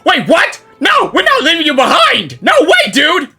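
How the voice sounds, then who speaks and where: High, excitable game reaction commentator. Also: moderate pace, soft background music. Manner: exclamatory, slangy, addresses the game characters. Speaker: game reaction video creator. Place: game audio playing behind the voice.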